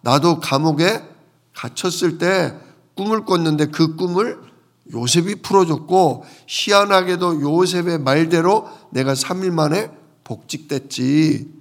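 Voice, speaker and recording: Gravelly-voiced male preacher, slightly high pitch. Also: unhurried. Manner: explanatory, asks the congregation rhetorical questions and answers them. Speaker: pastor preaching. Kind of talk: conversational Bible sermon.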